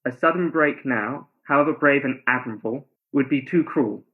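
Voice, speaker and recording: An actor playing a tender, ardent, pleading lover in a radio play, earnest and romantic in delivery. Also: male voice, mid-range voice, normal speed, natural voice. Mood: tender, sorrowful. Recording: thin phone-like sound.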